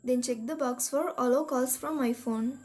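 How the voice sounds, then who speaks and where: High-voiced woman narrating a tutorial. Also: normal speed, darkish tone. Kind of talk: scripted step-by-step tech tutorial narration. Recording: voice-over with music behind. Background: background music.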